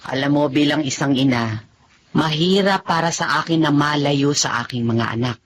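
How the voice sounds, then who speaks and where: A woman's voice: sits low, moderate pace, slight background noise, natural voice. Woman acting a sentimental middle-aged mother. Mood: wistful. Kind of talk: Filipino radio drama acting.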